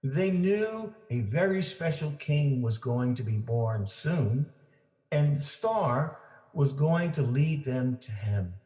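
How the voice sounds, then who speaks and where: Male storyteller, mid-pitched voice, unhurried pace, deliberate phrasing, family read-aloud, echoey room. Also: animated, mellow. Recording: roomy, echoing indoor sound.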